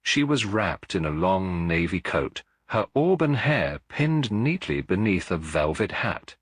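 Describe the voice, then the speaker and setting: A man with a low, husky voice narrates a detective story in a formal, dramatic style. His delivery is measured and highly melodic, with wide swings in pitch. The sound is close and dry. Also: very animated, no echo. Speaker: audiobook narrator. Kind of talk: scripted dramatic mystery narration.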